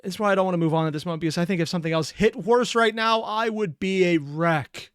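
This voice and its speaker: Casual commentary host, medium-pitched, invested and halting, in a dry close recording. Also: smooth, no room sound. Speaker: reaction video host.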